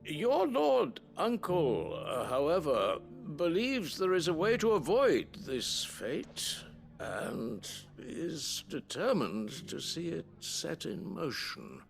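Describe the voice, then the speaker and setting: An actor playing a formal, deferential chief steward of a noble estate in a fantasy game. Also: male voice, a little high, sing-song, gravelly, slight background noise, exaggerated voice. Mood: grave. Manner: formal, courtly and deliberate. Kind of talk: scripted fantasy game dialogue.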